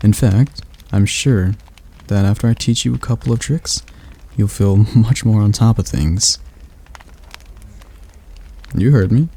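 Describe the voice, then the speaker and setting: A man voicing a patient male demon tutor who teaches magic in a calm, gently commanding ASMR roleplay. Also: fairly low pitch, breathy edge, exaggerated voice.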